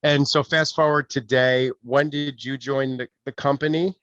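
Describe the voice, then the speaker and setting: A man's voice: mid-range voice, steady tone, moderate pace, fairly bright tone, no echo, lo-fi sound. A man casually asking interview questions in an encouraging, affirming way.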